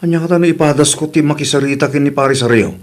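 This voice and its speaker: A man's voice: a little high, racing, lightly acted. A man acting a worried, earnest husband and father in an audio drama, with an Ilocano Filipino accent.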